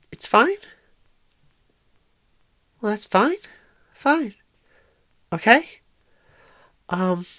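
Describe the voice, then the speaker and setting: A woman playing a shy, inexperienced, nervous job recruiter in an ASMR roleplay, halting and stammering in a soft-spoken, awkward way. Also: medium pitch, no room sound. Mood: awkward, tentative.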